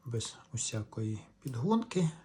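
A man hosts a casual hands-on demonstration. His voice is soft-edged and mellow, at an ordinary male pitch. He takes his time, talking slowly in short, halting phrases as he works. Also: big pitch swings. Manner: unhurried, halting in short phrases while working. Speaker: host of a reel-to-reel repair channel.

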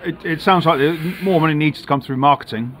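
A man's voice: on the high side, fast, a bit bright, a little hiss or hum. A man hosting club TV, asking post-match questions in a chatty way with hesitant fillers. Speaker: club TV host.

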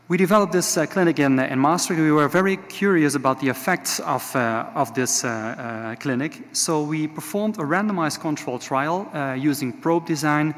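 A man in his forties with a Dutch accent, giving a measured conference talk with frequent filler sounds. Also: fairly high pitch, a bit bright. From Netherlands. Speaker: conference speaker. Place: large conference hall. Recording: reverberant conference hall.

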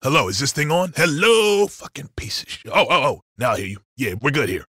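A man's voice: medium pitch, big pitch swings, fairly quick, pushed and bright, dry recording, studio quality. A man with an American accent voicing a brash, jumpy, foul-mouthed video game robber, agitated and stammering. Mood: flustered, irritated. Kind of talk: game voice lines over radio.

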